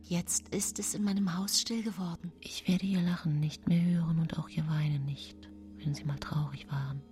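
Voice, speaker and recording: An actress playing a scheming, self-pitying nineteenth-century murderess who tells her own case in a hushed, brooding radio play monologue. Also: fairly low pitch, steady tone, moderate pace, natural voice. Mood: grieving, hushed. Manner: hushed, brooding, often whispering. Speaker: radio drama actress narrating. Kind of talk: intimate first-person radio play monologue. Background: music.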